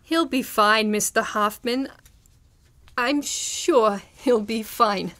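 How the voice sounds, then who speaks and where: A woman playing a breezy, persuasive studio staffer in a live old-time radio drama, brisk and chatty. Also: on the high side, fairly bright tone, slight background noise. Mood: helpful.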